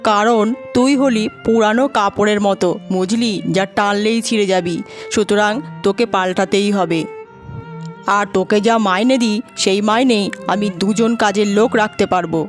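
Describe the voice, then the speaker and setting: A woman's voice: mid-range voice, steady tone, normal speed, natural voice. Curt, stern cartoon farm boss voiced by a woman. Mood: stern, dismissive. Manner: curt, commanding. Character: stern, dismissive farm boss sacking a worker. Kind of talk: cartoon dubbing. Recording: music behind the voice.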